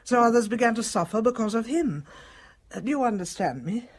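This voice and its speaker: An older woman playing a dying British matriarch in a radio drama, speaking in a measured, formal, guarded way. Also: fairly high pitch, lively intonation. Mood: grave, confiding. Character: composed, dying elderly matriarch with secrets.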